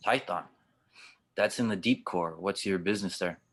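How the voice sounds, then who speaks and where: A man playing a gruff, cynical cyborg spaceship skipper who talks wryly and probingly in an audio drama table read. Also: low voice, normal speed, fairly bright tone.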